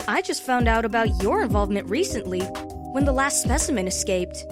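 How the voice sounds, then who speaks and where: A woman's voice: fairly high pitch, lively intonation, brisk, music in the background, natural voice. A woman playing a young intern bluffing her way along, casual and persuasive, in a comic-book audio drama. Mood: confident bluffing. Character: young female intern, breezy undercover bluffer.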